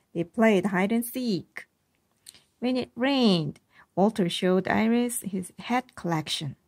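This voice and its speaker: A woman reading a children's storybook aloud as a mother would to her child, with expressive narration. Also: mid-range voice, no echo.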